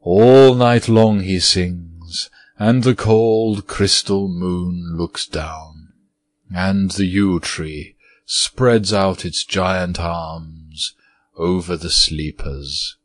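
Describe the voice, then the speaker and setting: A man reading aloud, voicing an old withered male ghost who speaks in a low, dreamy, mournful way. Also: extremely slow, dry recording.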